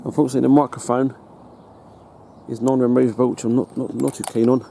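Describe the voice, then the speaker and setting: A British man giving a casual hands-on demonstration as a hobbyist, in a halting, matter-of-fact way. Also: mid-range voice, flat delivery, creaky, mellow. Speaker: hobbyist presenter. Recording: noticeable background noise.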